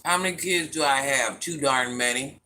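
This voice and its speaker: Middle-aged woman w/ a deep smoky voice, sassy n blunt, reading chat live, bright edgy tone. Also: moderate pace, poor audio. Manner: blunt, sassy, talks back to commenters. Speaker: livestream host reading chat comments.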